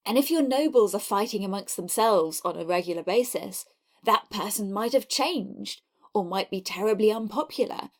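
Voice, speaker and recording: A woman's voice: mid-range voice, lively intonation, normal speed. A woman hosting a history podcast, telling it in a scripted yet conversational and enthusiastic way. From not Scotland.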